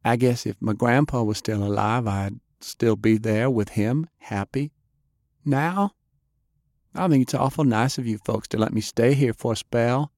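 A man's voice: mid-range voice, a little slow, a little mellow, no echo, clean studio sound, voice pushed up, close to an everyday voice. A man voicing an earnest young country girl who talks in a plain, folksy way. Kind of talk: audiobook character voice.

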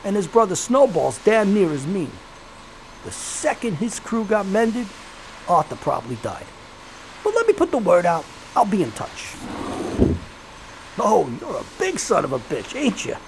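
Casting: sly American gangster kingpin, high creaky voice, theatrical and streetwise, for period audio drama. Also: male voice, huge pitch swings, a little slow, long pauses, slight background noise, exaggerated voice, rough recording. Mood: grim warning. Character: gleeful sweet-toothed gangster crime boss, sly and menacing.

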